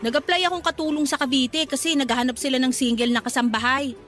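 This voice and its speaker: A woman's voice: sits high, fairly even intonation, fast, fairly bright tone, soft background music. A woman playing a sassy, gossipy young shop worker in a Filipino radio-style drama, slangy and teasing. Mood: eager, gossipy.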